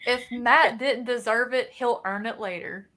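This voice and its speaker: Bright, higher-voiced woman chatting animatedly. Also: a little slow. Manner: animated storytelling, acts out reactions. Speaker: co-host of a book readalong discussion. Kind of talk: casual book chat.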